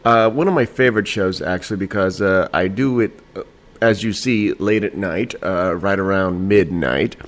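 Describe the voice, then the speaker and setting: A man's voice: low voice, moderate pace. A man hosting a casual late-night podcast from home, a bit tipsy and a little nasal, rambling and laughing with lots of fillers and swearing. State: slightly tipsy. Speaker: podcast host. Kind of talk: late-night casual solo talk show.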